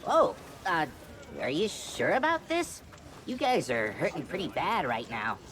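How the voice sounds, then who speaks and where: A woman voicing a small, cowardly male forest creature in a video game, with an excitable, stammering, grumbling manner. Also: sits low, measured pace, slight background noise, exaggerated voice. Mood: grudging, hesitant. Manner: excitable, stammering, dramatic whining.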